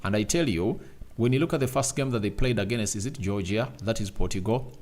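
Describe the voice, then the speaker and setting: A man's voice: lowish voice, a little fast, a little mellow. A man hosting a casual football transfer news show, rambling and talking straight to his viewers. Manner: rambling, repeats "obviously" and "I tell you", talks to viewers directly. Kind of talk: casual solo commentary.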